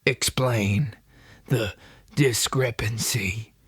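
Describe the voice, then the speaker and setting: A cold crystalline alien officer, voiced by a man, speaks in a breathy whisper with almost no solid tone. The delivery is extremely slow and halting, each clipped word set down with analytical detachment in a dry, close sci-fi audiobook sound. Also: medium pitch, no room sound, voice pushed up, exaggerated voice. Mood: cold, measured. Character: cold crystalline alien commander and his second-in-command. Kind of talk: audiobook character voice.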